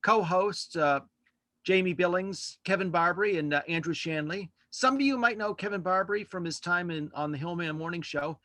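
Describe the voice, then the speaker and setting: Male podcast host as interview guest, conversational, sharp bright voice, close dry sound. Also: sits high, moderate pace, no room sound. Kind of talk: casual interview chat. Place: indoors at home, on a video call.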